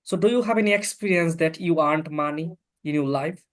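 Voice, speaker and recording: Interviewer, man, plain measured questions, slightly high voice.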